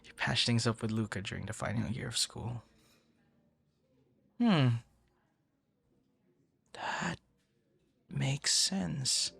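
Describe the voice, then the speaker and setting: A man playing a friendly, flustered young romantic in an intimate boyfriend audio roleplay, talking in a hesitant, rambling, conversational way with soft breathy asides. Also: mid-range voice, sing-song, slow pace, pauses often, dry recording, full character voice. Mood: wistful, casual.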